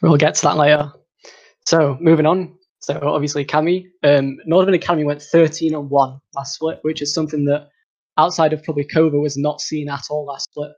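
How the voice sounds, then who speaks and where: A man's voice: a little high, little pitch movement, brisk, darkish tone, muffled recording. A man hosting an esports talk show, casually steering a panel chat.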